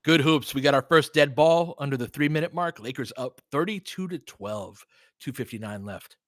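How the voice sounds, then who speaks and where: A man delivers live basketball commentary in a medium-pitched voice. His calls come in short, clipped phrases mixed with informal, fan-like remarks. The sound is dry, with no room echo. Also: moderate pace, a bit bright. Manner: clipped running phrases with casual fan-style asides. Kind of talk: live audio play-by-play commentary.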